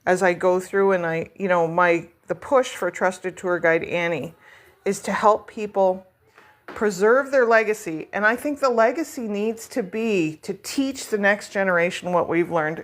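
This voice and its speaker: A middle-aged woman talks casually into her home vlog, rambling from one thought to the next. She pokes fun at herself as she goes, in a voice of ordinary, middling pitch. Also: a bit bright. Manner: chatty, rambling, self-deprecating. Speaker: vlog host. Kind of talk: casual chat while decorating.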